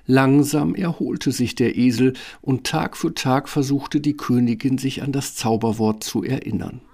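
A male storyteller narrates a scripted fairy tale. His voice is husky and gravelly, sitting at a middle pitch. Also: a little slow, a little mellow.

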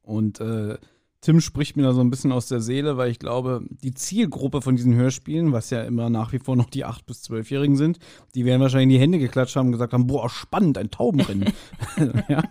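A man co-hosting a podcast, giving casual, joking and mildly mocking commentary full of halting asides. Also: mid-range voice, dark tone. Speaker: podcast co-host. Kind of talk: casual chat, humorous commentary on an audio drama.

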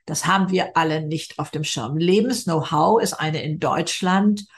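An older woman, a guest in a conversational interview, telling stories in a lively, emphatic way. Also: sits low, normal speed.

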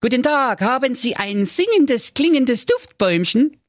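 Squeaky high cocky fairy tale dude, brash and blunt, kinda dim. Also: male voice, very animated, a little slow, no echo, studio quality, full character voice. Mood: eager. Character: boastful dim-witted young male suitor.

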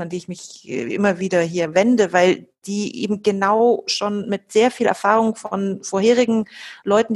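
Woman logistician, podcast guest, mid pitch, energetic explainer with fillers. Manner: lively explaining, many fillers and restarts. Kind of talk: podcast conversation.

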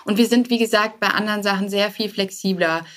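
Woman podcast guest, startup founder type, normal pitch, talks conversationally w english buzzwords mixed in. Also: fast talker, fairly bright tone. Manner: mixes English business jargon into speech.

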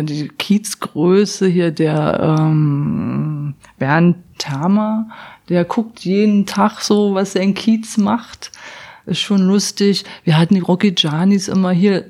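A woman's voice: on the low side, slow, a little mellow. Chatty woman working in a pub. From not from Berlin. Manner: chatty, hesitant, many restarts and fillers. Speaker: pub staff. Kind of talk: casual interview answers.

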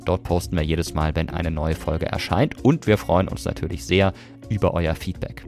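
Low-voiced man narrating a podcast. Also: fairly even intonation, normal speed, creaky, a little mellow, faint music behind. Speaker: podcast host.

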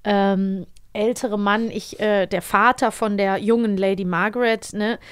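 A woman's voice: medium pitch, fairly even intonation, unhurried, clean tone. A woman with pronunciation, co-hosting a casual conversational review of audio dramas. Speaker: podcast co-host.

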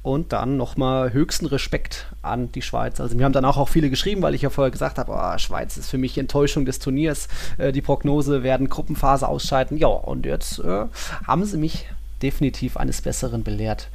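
A man's voice: mid-range voice, fairly quick, creaky, few pauses. A man chatting casually as co-host of a football podcast, in colloquial, clipped phrases. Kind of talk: casual sports podcast chat.

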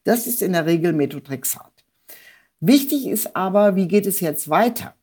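Woman, rheumatology professor, lowish pitch, dark mellow tone, measured technical explaining. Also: animated, husky. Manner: measured, structured, uses technical jargon. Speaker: rheumatologist, professor, expert speaker. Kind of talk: explanatory medical expert talk.

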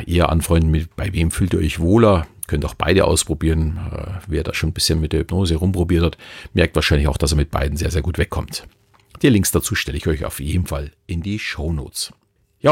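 A man with a Bavarian accent who talks in a loose, rambling way. His voice sits very low and carries a gravelly fry with a husky edge. The recording is close and dry. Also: a little mellow, no echo. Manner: rambling, loose and chatty with many fillers. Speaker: podcast host and hypnotist. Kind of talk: casual podcast talk.

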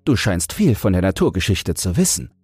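A man playing a cheerful young male student who banters in a lively, educated way in a storybook. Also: deep voice, normal speed, husky, no echo, faint music behind, voice pushed up, heavily acted. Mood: spirited.

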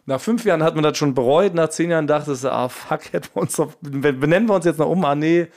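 A man's voice: a little high, fast, darkish tone. A man hosting a casual comedy podcast, talking in a jokey, mock-boastful way. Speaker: podcast host.

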